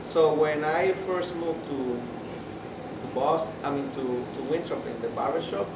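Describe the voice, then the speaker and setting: A man's voice: a little high, measured pace. A man hesitantly at a community meeting, searching for words with many fillers. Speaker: community member. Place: community center room. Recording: echoey room, distant mic, loud background hum.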